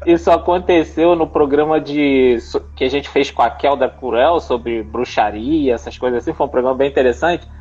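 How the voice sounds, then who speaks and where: A man co-hosting a podcast chat, relaxed and reflective, laughing easily. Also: mid-range voice, moderate pace, hardly any pauses, slightly rough, highs cut off. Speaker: podcast co-host and editor. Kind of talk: casual chat.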